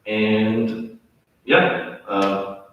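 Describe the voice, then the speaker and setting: A man's voice: lowish voice, steady tone, very slow, muffled recording, a little hiss or hum. A man working as a cybersecurity analyst, introducing himself on a panel in a plain, conversational way. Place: lecture theatre. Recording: echoey room from far off.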